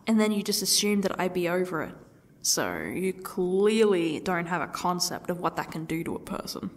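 A woman playing a defiant captive who taunts her torturer with bitter sarcasm in an ASMR roleplay, husky and often dropping toward a whisper, in halting, mocking phrases. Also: fairly low pitch, expressive. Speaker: audio roleplay performer. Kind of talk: ASMR roleplay monologue acting.

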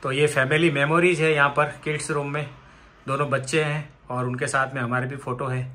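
Man, thirties, from india, chatty vlogger, phone camera in an apartment, mid pitch. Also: little pitch movement, fairly quick, bright, forceful tone, a little hiss or hum. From India, raised in Rajasthan. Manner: chatty, guiding viewers room by room. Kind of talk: casual house tour vlog. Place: apartment, indoors.

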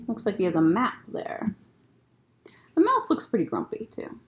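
Lively young woman reading a picture book. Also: medium pitch, normal speed. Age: young adult. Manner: expressive, child-directed storytelling. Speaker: children's librarian, story time host. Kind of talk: picture book read-aloud with casual asides. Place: library room lined with bookshelves, indoors.

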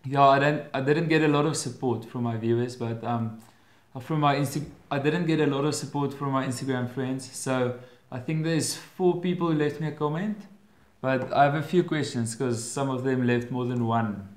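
A man's voice: mid-range voice, moderate pace. Young man with an Afrikaans accent, echoey room. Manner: hesitant, restarts phrases with many fillers. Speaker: vlogger. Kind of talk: casual Q&A vlog. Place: bedroom at home, indoors. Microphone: webcam at desk.

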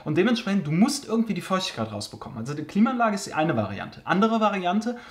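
A man's voice: sits high, big pitch swings, fast talker, echoey room. A man hosting a car care channel, casually answering viewer questions with frequent fillers and joking asides, recorded in a basement. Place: basement storage room.

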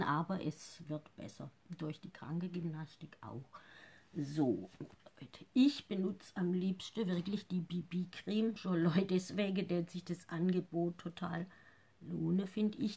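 Woman, fifties, home webcam makeup host; lowish husky voice, rambling and slightly nervous. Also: darkish tone. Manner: chatty, rambling, slightly nervous, often trails off into mumbles. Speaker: makeup seller and live-stream host. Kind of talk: casual live makeup tutorial. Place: living room at home.

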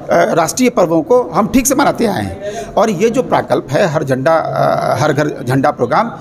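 A middle-aged man with a gravelly, fairly high voice speaks into a bunch of press microphones in an indoor exhibition hall. He talks earnestly and measuredly, pausing often, while a crowd chatters loudly behind him. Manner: earnest, measured speech with many pauses and fillers. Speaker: university official addressing the press. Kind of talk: press statement to reporters. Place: indoor exhibition corridor with people around. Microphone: cluster of handheld press mics.

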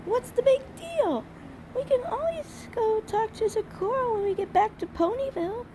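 A woman's voice: very high voice, lively intonation, normal speed, dark tone, slight background noise, rough recording. A woman voicing a bossy, dismissive little unicorn filly in an amateur cartoon dub.

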